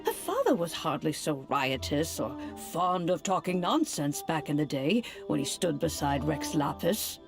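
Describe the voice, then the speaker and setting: Slow, lilting, creaky elderly granny. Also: female voice, sits low, big pitch swings, over music, exaggerated voice. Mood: fondly chiding. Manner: old-fashioned, unhurried, sighing phrasing. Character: gentle, elderly adeptus granny, fond but chiding. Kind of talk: video game voice acting.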